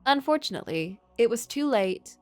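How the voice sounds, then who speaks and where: A woman's voice: on the high side, expressive, moderate pace, a little mellow, no echo, soft background music, natural voice. A woman voicing a tormented, self-loathing middle-aged male writer in a brooding, melodramatic literary game monologue. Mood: brooding regret. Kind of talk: dramatic first-person reading of game story.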